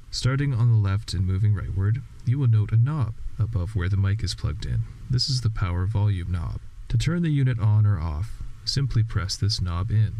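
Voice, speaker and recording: Male, training narrator, scripted instructions, lowish pitch, dark relaxed tone, matter-of-fact.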